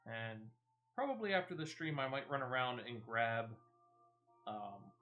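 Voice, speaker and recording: A man's voice: medium pitch, sing-song, unhurried, phone-quality sound. A man giving casual, playful gameplay commentary as he streams. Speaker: game streamer. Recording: music playing behind the voice. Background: game music.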